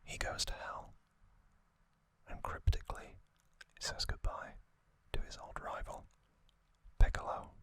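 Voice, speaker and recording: A man whispering an ASMR narration, telling a story gently in short, hushed phrases as the host. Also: measured pace, long pauses, no echo.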